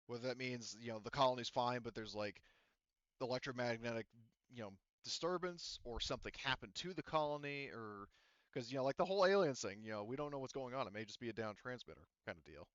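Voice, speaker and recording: A man's voice: medium pitch, quick pace, a bit bright, clean tone, dry recording, muffled recording. A man acting as game master, explaining the setting in a rambling, casual way with many fillers. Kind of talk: casual tabletop game narration.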